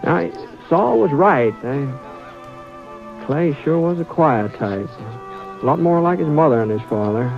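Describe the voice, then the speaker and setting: A drawling, easygoing ranch hand. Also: male voice, a little high, animated, slow pace, creaky, full character voice. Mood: reflective narration. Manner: folksy, drawling, gentle. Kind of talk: 1950s radio drama narration. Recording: muffled old radio recording with music.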